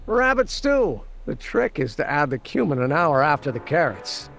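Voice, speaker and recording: An earnest young inventor from a video game, in a high voice that swings widely in pitch. He explains every detail precisely and eagerly, with music playing behind him. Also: sing-song, moderate pace, creaky, a bit bright, heavily acted. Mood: chatty, enthusiastic. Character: eager, earnest young male amateur scientist. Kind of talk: video game character acting.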